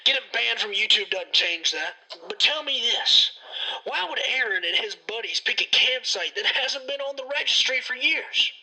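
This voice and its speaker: A man's voice: very high voice, expressive, normal speed, pushed and bright, husky, heavily acted, poor audio. An actor playing a defiant, paranoid male conspiracy-theorist host in a radio drama, with a folksy, suspicious, ranting manner. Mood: defensive. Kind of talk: radio drama phone interview.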